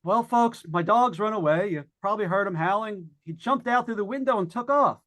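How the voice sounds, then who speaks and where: An actor playing a skeptical, plain-spoken broadcaster in a haunted house, informal and halting, in old-time radio suspense style. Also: male voice, sits high, pushed and bright. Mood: uneasy.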